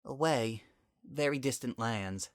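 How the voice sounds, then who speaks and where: A man's voice: medium pitch, takes it slowly, dry recording, studio quality, lightly acted. A man voicing a defensive young female unicorn pony, a guilty younger sister, in a dramatic story reading. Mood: guilty, hesitant. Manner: blunt, defensive, emotional.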